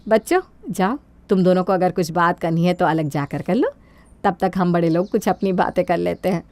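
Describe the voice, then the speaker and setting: A woman's voice: fairly low pitch, normal speed, darkish tone, no echo, lightly acted. Woman voicing a sly, greedy middle-aged mother-in-law. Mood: sugary, persuasive. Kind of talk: Hindi cartoon moral-story dubbing.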